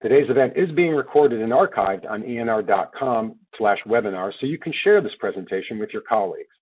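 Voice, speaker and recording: A male webinar moderator reads out introductions in a steady, formal way. His voice is a little husky and comes through a thin, phone-like line. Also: mid-range voice. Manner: measured, formal reading. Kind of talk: read introductions.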